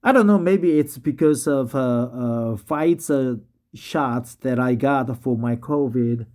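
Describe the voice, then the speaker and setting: A man with a Korean accent, a native Korean speaker practicing English in a casual vlog, slightly tipsy and halting, full of hesitation fillers. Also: medium pitch, a little mellow. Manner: halting, many drawn-out fillers. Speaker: English learner vlogging. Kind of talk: casual talk and reading aloud.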